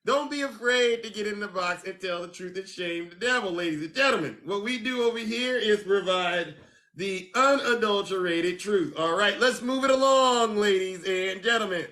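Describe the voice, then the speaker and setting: A man hosting a live call-in show, theatrical, with a booming announcer style. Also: normal speed, bright, forceful tone. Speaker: show host.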